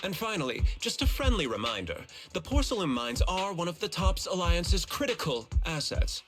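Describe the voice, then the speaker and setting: Smug, theatrical company executive. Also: male voice, high voice, very animated, moderate pace, fairly bright tone, soft background music. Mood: smoothly warning. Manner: polished, pompous, courteous. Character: formal, smug male company executive, veiled menace.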